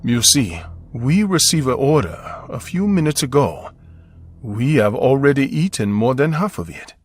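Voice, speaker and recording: An actor playing a tired, caring middle-aged father from South Korea, speaking calmly in a told story. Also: mid-range voice, moderate pace. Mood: puzzled. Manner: calm, weary and caring. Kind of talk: radio-style story acting.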